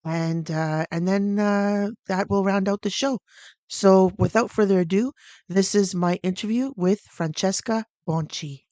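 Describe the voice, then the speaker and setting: A woman hosting a radio show, introducing her guest and asking clear, plain interview questions. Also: mid-range voice, measured pace, darkish tone, dry recording. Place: radio studio.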